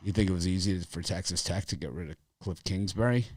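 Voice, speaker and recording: Raspy, very deep-voiced man. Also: a little fast, a little mellow, dry recording, clean studio sound. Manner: rambling, filler-heavy, opinionated. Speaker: sports betting show host. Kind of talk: casual sports talk.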